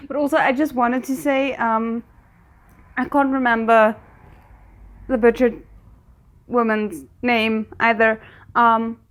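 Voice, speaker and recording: A woman giving casual, chatty, expressive reaction commentary on a TV show, often breaking off mid-thought. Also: fairly high pitch, takes it slowly, pauses often. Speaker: reaction host.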